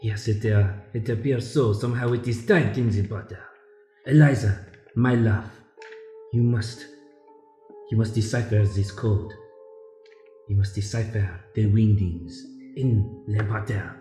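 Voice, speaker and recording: In a roomy space with faint music, a man acts out a coquettish young chef in an improvised radio play. He is a needy, theatrical lover with a middling pitch and a slow, drawn-out, pleading delivery. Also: mellow, close to an everyday voice. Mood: melodramatic. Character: melodramatic 27-year-old coquettish male chef, needy lover.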